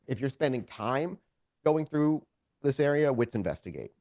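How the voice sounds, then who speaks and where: A man acts as game master for a tabletop roleplaying session, describing scenes in an informal, conversational way. His medium-pitched voice swings widely in intonation. The sound is dry, with little room around it. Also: animated, normal speed, darkish tone, no room sound.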